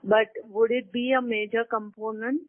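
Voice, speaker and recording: A woman with an Indian accent, an analyst putting questions on an earnings call in a halting manner. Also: on the high side, flat delivery. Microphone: telephone. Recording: narrow, tinny phone line.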